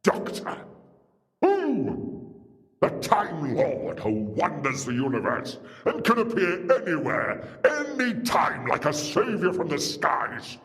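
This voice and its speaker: An actor playing a vengeful, defiant alien war lord in a sci-fi audio drama, declaiming theatrically and grandly. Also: male voice, fairly high pitch, wildly swooping pitch, takes it slowly, fairly bright tone, full character voice. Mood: scornful. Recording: long reverberant hall, music behind.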